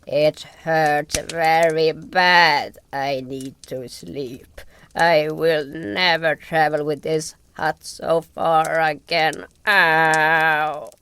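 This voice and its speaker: A man's voice: a little high, little pitch movement, sharp tone, smooth, voice pushed up, exaggerated voice. A man voicing a weary old amphibian creature in a fantasy audio story, talking in a plain, grumbling way with odd grammar. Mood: pained, weary. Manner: slow, plain, complaining talk with odd grammar.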